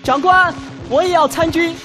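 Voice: very high-pitched, normal speed, music in the background. An actor playing an eager sixteen-year-old poor cowherd boy in a radio drama.

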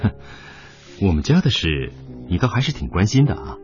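An actor playing an emotional middle-aged son and former soldier in a radio drama, with sighs and exclamations. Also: male voice, deep voice, very animated, normal speed. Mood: cold, sarcastic. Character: devoted, emotional Chinese son, ex-soldier and single father. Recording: dull lo-fi, music underneath.

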